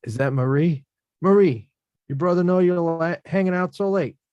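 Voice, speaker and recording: A man's voice: sits high, normal speed, a little mellow, no room sound, lo-fi sound, lightly acted. An actor in a table read playing a plainspoken working-class boxer, protective and streetwise in his slangy talk. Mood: concerned, lecturing. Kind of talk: screenplay table read acting.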